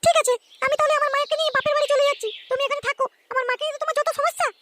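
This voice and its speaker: Squeaky, extremely high cartoon nagging wife. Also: female voice, steady tone, rapid-fire. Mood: scolding. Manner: nagging, argumentative. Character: indignant, scolding young wife defending her mother. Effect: pitched-up chipmunk-like voice. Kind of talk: comic cartoon dialogue. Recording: loud noise, clicks, chirping birds.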